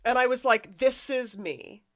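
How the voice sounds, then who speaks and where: A woman's voice: a little high, measured pace. A woman chatting casually as a podcast guest, in a wry, self-mocking way. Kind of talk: casual chat.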